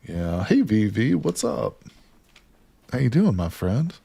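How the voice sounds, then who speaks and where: A man's voice: on the low side, huge pitch swings, slow, gravelly, dark tone, slightly rough, dry recording. A man live streaming a survival game, chatting casually and joking with his viewers as he plays. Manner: chatty, jokes with chat, greets viewers by name.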